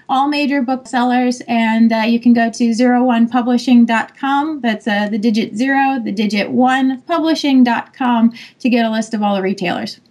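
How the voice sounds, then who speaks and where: A woman publisher answering interview questions firmly and matter-of-factly, with frequent pauses. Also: a little high, a little mellow. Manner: firm, matter-of-fact, many "uh" pauses. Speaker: publisher and editor-in-chief. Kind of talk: podcast interview.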